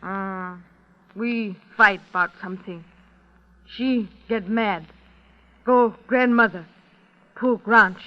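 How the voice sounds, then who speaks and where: An actress playing a stubborn, solemn mother on the witness stand, speaking terse, halting broken English in an old radio drama. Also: mid-range voice, lightly acted. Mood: stolid, insistent. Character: stubborn, solemn Native woman, mother giving testimony. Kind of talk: 1930s radio drama acting. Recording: dull old radio recording.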